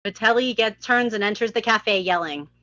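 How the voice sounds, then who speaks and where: A woman's voice: medium pitch, normal speed, bright, forceful tone, dull audio, slight background noise. A woman reading scene headings and stage directions as scripted narration at a live table read.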